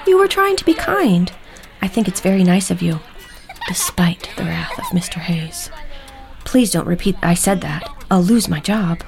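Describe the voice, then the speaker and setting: An actress playing a kindly, discreet housekeeper in an audio drama, speaking gently and carefully. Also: female voice, on the low side, big pitch swings, moderate pace, creaky. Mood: confiding.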